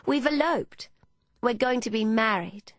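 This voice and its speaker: A woman's voice: on the high side, sing-song, slow pace, sharp tone, breathy edge, no room sound, voice pushed up, heavily acted. A woman voicing a defiant, pouting seventeen-year-old would-be actress in an audiobook, with a girlish dramatic manner. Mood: excited, insistent. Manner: petulant, triumphant, girlishly dramatic.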